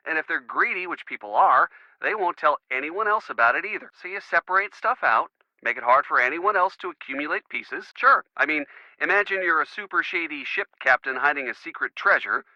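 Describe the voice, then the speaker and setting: An earnest young boyfriend for a video game phone call. His voice sits a bit high and moves in lively swings, boyish and hesitant as he rambles. The sound is dull and lo-fi, with little room around it. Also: a little fast, dry recording. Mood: thoughtful, supportive. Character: earnest, devoted young college boyfriend of a girl detective.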